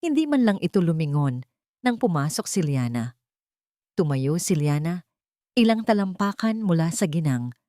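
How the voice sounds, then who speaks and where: A woman narrating a scripted dramatic story in a measured, theatrical way. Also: on the low side, big pitch swings, mellow, dry recording, studio quality.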